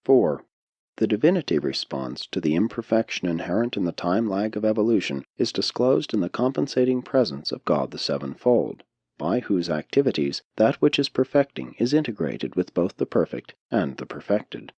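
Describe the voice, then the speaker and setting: Low-voiced man reading an audiobook, expressively pitched. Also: big pitch swings, moderate pace, darkish tone, husky, dry recording, dull audio. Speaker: audiobook reader. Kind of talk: scripted audiobook narration of a spiritual text.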